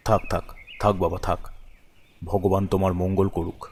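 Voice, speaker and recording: A man voicing a stern, uneasy middle-aged father in an animated ghost story, measured and reproachful. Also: deep voice, long reverb tail, slight background noise. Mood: placating, probing.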